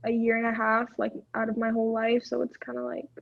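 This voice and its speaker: A woman chatting casually with friends, relaxed and rambling with many fillers. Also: on the high side, quick pace, gravelly, dull audio. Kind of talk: casual chat among friends.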